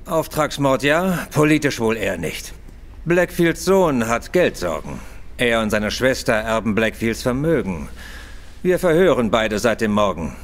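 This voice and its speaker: A man's voice: medium pitch, very animated, unhurried. An actor playing a self-assured male police inspector in a German audio drama, officious, curt and grudging. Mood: brusque, self-assured. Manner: officious, brusque.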